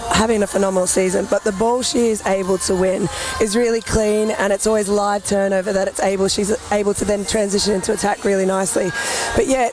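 A woman's voice: mid-range voice, rough recording. A woman, a netball head coach who is losing her voice, answering post-match interview questions.

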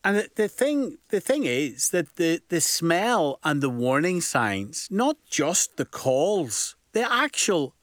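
A man hosting a live radio show, brisk and informal as he presses his guests with questions. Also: on the high side, very animated, a bit bright, dry recording, clean studio sound. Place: radio studio. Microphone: studio microphone.

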